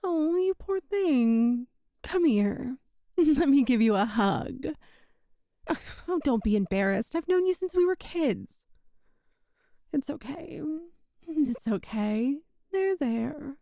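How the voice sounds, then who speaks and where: A woman's voice: high-pitched, mellow, no echo, clean studio sound, full character voice. A woman playing a sweet, possessive young witch shopkeeper in an ASMR roleplay, with a coy, doting, teasing manner. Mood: tender, coaxing. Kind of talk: ASMR romantic roleplay audio.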